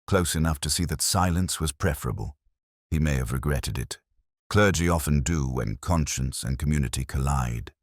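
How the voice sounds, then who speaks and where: A man voicing a cold, evasive older male town official in a measured, formal, condescending way. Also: a bass voice, no echo, clean studio sound.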